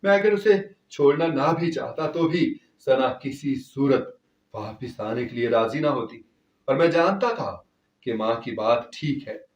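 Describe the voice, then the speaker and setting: A man's voice: mid-range voice, lively intonation, a little slow. A man narrating a scripted first-person story in an emotive, theatrical storytelling manner. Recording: echoey, distant-sounding voice.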